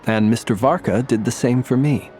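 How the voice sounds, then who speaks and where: Courteous, composed gentleman played by a man, mid-pitched. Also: moderate pace, a little hiss or hum, natural voice. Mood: approving. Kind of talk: video game cutscene voice acting.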